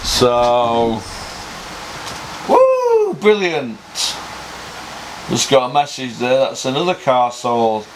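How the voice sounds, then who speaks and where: A man's voice: mid-range voice, wildly swooping pitch, very slow, long pauses, background noise. A man hosting his own boxing talk show, ranting bluntly with lots of swearing and rhetorical questions. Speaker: boxing pundit host. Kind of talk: opinionated casual rant.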